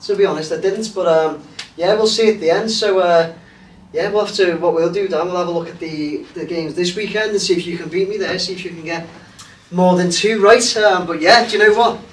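A man's voice: high voice, fairly quick. A man hosting a casual football chat show, rambling and hesitant with lots of fillers. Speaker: host. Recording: roomy, distant mic, faint hiss.

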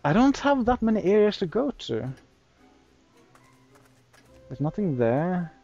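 A man's voice: high-pitched, sing-song, unhurried, mellow, highs cut off, soft background music. A man whose native language is Norwegian, commenting on a game he is playing in a hesitant, rambling way. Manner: rambling, hesitant, laughs often. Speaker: game streamer. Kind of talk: let's play commentary.

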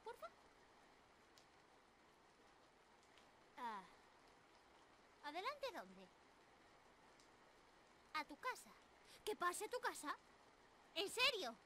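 Hyper ditzy squirrel monster girl, super squeaky high anime voice, stammers, asmr roleplay, noisy background. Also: slow, long pauses, heavily acted. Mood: timid, pleading. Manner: bubbly, excitable, stammering, giggly.

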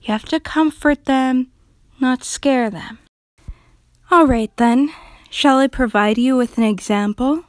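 A woman voicing a gentle, timid character who comforts animals, speaking softly and calmly in a breathy near whisper. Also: high-pitched, slow pace, dry recording, studio quality. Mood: gentle, hushed. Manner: calm, gentle, hesitant, comforting.

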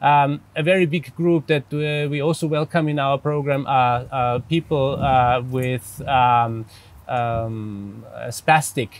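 A man in his forties from Austria, speaking English with an Austrian German accent as a psychologist guest, measured and careful with many fillers, outdoors by a pool. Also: medium pitch, steady tone. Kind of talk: interview answers.

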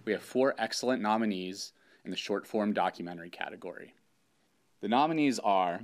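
A man in his thirties reading a scripted award announcement as a presenter. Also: lowish voice. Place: indoor studio with curtain backdrop.

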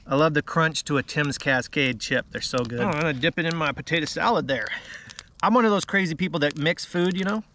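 A man's voice: fairly high pitch, fairly quick, sharp tone, slight background noise. A man in his thirties vlogging casually at a snowy night campsite, savoring food and chatting to viewers. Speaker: outdoor vlogger. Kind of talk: casual chat to camera.

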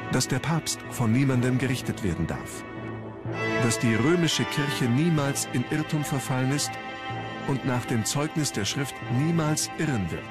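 A man's voice: medium pitch, lively intonation. Man narrating a history documentary over music. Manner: measured, formal storytelling delivery. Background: background music.

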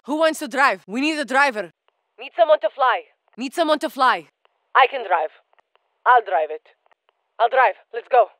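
A woman's voice: fairly high pitch, a bit bright, no room sound, rough recording. A woman voicing a battle-hardened female soldier operator in a game, speaking in clipped military callouts with dry humor. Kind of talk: game voice lines.

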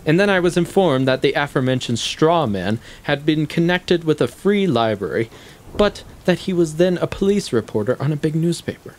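An actor playing a shrewd, needling intellectual in a stage play, speaking deliberately in formal, articulate, condescending sentences. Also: male voice, on the high side, lively intonation, a little hiss or hum, lightly acted. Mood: musing, storytelling. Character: shrewd, probing male intellectual, coolly needling his guest. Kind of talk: stage play acting, long monologues.

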